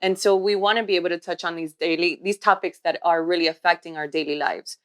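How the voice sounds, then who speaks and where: A woman in her forties co-hosting a podcast, talking in a warm, steady, explanatory way. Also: lowish voice, quick pace, clear, resonant tone. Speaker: podcast co-host, healthcare worker. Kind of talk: casual podcast chat.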